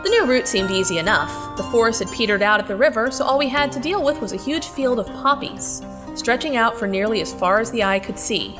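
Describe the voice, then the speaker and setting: Woman acting plucky sarcastic girl hero, highish voice, dry storytelling, audio drama w/ music under it. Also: expressive, a little fast, lightly acted. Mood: wry. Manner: wry, conversational storytelling. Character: Dorothy, plucky sardonic girl traveler and wry narrator. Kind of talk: audio drama narration and dialogue.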